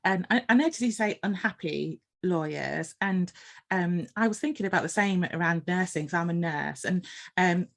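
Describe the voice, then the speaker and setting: A woman's voice: fairly low pitch, moderate pace, gravelly. Older woman hosting, thinking aloud. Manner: thoughtful, hesitant, thinking aloud. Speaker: podcast host, nurse. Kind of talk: conversational podcast interview.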